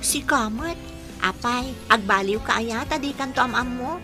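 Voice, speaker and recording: A woman's voice: a little high, normal speed, over music, close to an everyday voice. An actress with a Filipino accent playing a sweet, modest, studious schoolgirl in a radio drama. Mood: earnest, teasing. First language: Ilocano.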